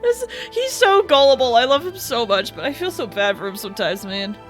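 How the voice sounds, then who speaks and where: A woman's voice: sits high, sing-song, brisk, fairly bright tone, faint music behind. A woman game streamer making casual live reactions.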